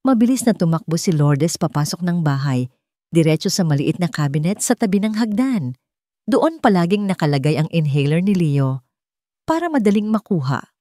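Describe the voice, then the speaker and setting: A woman's voice: lowish voice, very animated, normal speed, warm tone, dry recording, studio quality. A woman with a Filipino accent reading dramatic scripted narration as a storyteller.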